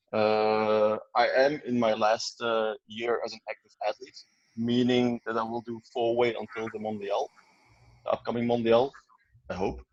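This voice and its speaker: Low-voiced man on a dull call. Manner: hesitant, many fillers. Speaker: founding team member. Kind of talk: video call talk. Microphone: video call.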